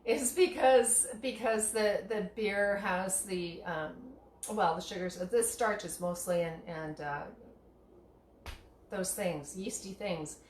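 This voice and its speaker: A woman talks her way through making lunch in an echoey home kitchen, circling back on herself with ums and restarts. Her voice sits in a middle range and is a touch hoarse. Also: medium pitch, takes it slowly, a little mellow, slight background noise. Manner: rambling, many restarts and fillers; laughs at herself. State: slightly hoarse, losing voice. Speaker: tea shop owner and cooking vlogger. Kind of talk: casual kitchen demo chat.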